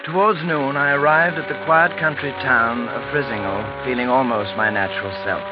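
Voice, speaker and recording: A slightly dull vintage radio recording with prominent music holds a young gentleman, played by a man. He speaks at a medium pitch, courteous and measured. There is a reflective, storytelling seriousness to his delivery. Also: fairly even intonation, close to an everyday voice. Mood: grave narration. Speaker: lead actor and narrator in a radio drama. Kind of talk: old-time radio drama acting and narration.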